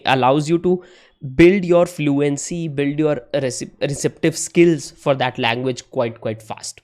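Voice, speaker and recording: A man's voice: fairly high pitch, darkish tone. Casual man giving tips. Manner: conversational, frequent "right?" tags and self-corrections. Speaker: English-learning YouTuber. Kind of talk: casual spoken tutorial.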